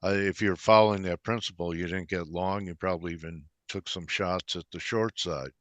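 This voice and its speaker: A man's voice: low voice, steady tone, a little fast, dry recording. A man hosting a conversational financial interview, putting questions and his own views to a guest. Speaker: interviewer, host.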